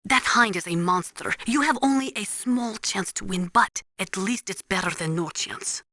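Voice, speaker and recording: A blunt female military analyst in a video game. Her voice is bright and forceful at a middling pitch, recorded very dry. She gives precise, matter-of-fact instruction like a weapons expert. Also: moderate pace, no echo. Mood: matter-of-fact, urgent. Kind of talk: video game radio briefing.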